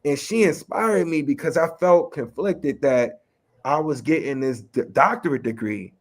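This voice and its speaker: A man from Chicago's West Side with a local accent and a slight New York tinge, a rapper and educator speaking in a relaxed, streetwise way as an interview guest. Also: fairly high pitch, normal speed. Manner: relaxed, streetwise, lots of "you know what I mean". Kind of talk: casual interview talk.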